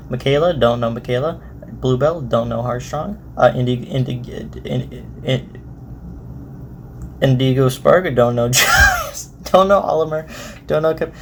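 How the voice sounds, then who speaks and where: A man's voice: medium pitch, a little hiss or hum. A man giving casual, off-the-cuff reaction commentary, rambling and repeating his words. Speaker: reaction host.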